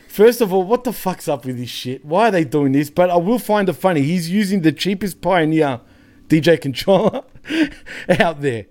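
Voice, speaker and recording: A man's voice: sits high, expressive, moderate pace, a little mellow. An Australian man bantering casually on a wrestling review show, jokey, sweary and often laughing.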